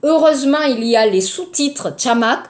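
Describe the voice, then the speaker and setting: A woman's voice: high-pitched, animated, moderate pace. A woman with a southern French accent teaching a language lesson, repeating key words and checking that learners follow. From southern France. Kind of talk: recorded online language lesson.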